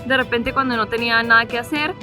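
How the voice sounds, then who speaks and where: A young Mexican woman in her twenties talks to camera in a relaxed, chatty way, full of little fillers and quick laughs. Her voice sits a bit high. Music plays clearly underneath her. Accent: Mexican Spanish. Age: 20s. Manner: chatty, laughs easily, loose storytelling with fillers. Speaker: travel vlogger. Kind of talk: casual sit-down chat to camera. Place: seated indoors facing the camera.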